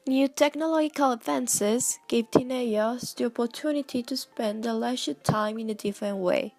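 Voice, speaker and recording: A woman's voice: a little high, moderate pace, darkish tone. A woman reading scripted documentary narration for a newsreel.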